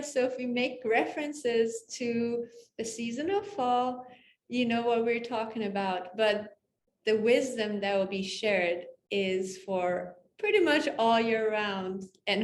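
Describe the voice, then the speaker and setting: A woman hosting a video interview, speaking in a gentle, measured and welcoming way. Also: on the high side, roomy sound, a little hiss or hum. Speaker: host.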